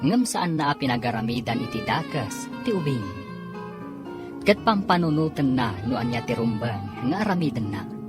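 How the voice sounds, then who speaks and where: Nababa ti timekna a babae a mananarita. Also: female voice, extremely low pitch, big pitch swings, normal speed. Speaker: radio drama narrator and announcer. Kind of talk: scripted dramatic narration. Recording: radio drama with music bed.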